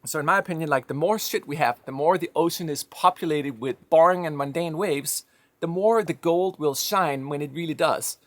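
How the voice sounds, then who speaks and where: A man's voice: on the high side, moderate pace, a bit bright, no echo. A man from Denmark with a slight Danish accent, giving a reflective, conversational monologue with firm opinions. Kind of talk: personal monologue.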